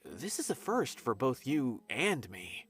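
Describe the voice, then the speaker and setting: An earnest young scholar. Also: male voice, mid-range voice, very animated, takes it slowly, a bit bright, breathy edge, soft background music, heavily acted. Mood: explaining. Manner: earnest, explanatory. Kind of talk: video game voice acting.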